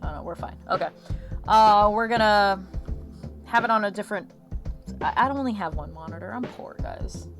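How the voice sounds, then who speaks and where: A woman's voice: mid-range voice, big pitch swings, moderate pace, pauses often, fairly bright tone. A woman hosting a livestream in casual, rambling chat that often interrupts itself, with a slight lisp. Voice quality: slight lisp from a missing molar. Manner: rambling, chatty, self-interrupting. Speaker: streamer hosting an art livestream. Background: lo-fi music track.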